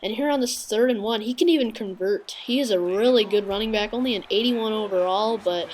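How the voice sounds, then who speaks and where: A woman's voice: medium pitch, darkish tone, a little hiss or hum, low-quality recording. Congested woman casually commenting on gameplay. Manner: casual, halting, many "uh" fillers. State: congested, sniffling. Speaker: gaming video creator.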